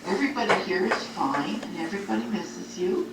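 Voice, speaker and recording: A woman's voice: medium pitch, lively intonation, fairly quick, creaky. A woman talking as if in an audio letter to a child, explaining things in simple phrases, recorded on cassette. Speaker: group home care worker. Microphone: cassette recorder at a distance. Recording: roomy, noisy cassette tape from a distance.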